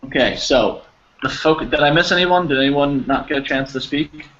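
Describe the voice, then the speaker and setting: A man teaching an English class over a video call, patient and encouraging, explaining slowly with examples. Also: on the high side, a bit bright. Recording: slightly dull audio with faint noise.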